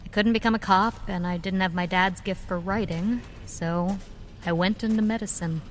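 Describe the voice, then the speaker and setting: An actress playing a spirited, curious young nurse and vigilante in an audio drama, with a wry, frank manner. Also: female voice, mid-range voice, fairly even intonation, moderate pace, a little hiss or hum, close to an everyday voice. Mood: earnest, reminiscing.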